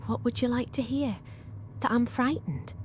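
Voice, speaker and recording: A woman playing a composed but frightened shipwreck survivor in a radio drama, restrained and hushed. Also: fairly high pitch, moderate pace, slight background noise, lightly acted.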